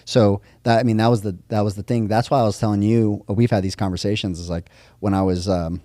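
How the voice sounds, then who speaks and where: Easygoing male co-host from Las Vegas, very deep and mellow, dry close sound. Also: steady tone, fast talker, dry recording. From Las Vegas, USA. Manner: easygoing, many short affirmations. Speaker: podcast co-host. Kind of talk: casual podcast chat.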